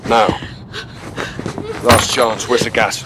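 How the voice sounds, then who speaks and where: A man's voice: medium pitch, flat delivery, slow, very noisy, natural voice. A man playing a middle-aged British special forces captain in a video game, terse, commanding and ruthless. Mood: cold menace. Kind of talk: cinematic video game acting.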